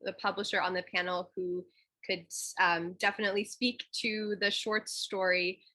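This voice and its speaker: A woman moderating an online pitch panel, talking informally with fillers and restarts. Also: level tone, normal speed, pushed and bright.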